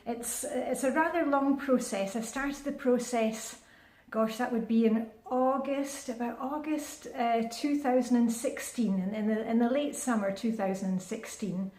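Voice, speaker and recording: A chatty woman in her fifties talks to her viewers on camera in a slightly high voice. She is upbeat and rambling, with plenty of ums and restarts. The sound is roomy and echoey. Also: measured pace, darkish tone. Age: 50s. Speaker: vlogger. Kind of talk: casual vlog chat. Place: indoors at home, with a short outdoor clip in the rain.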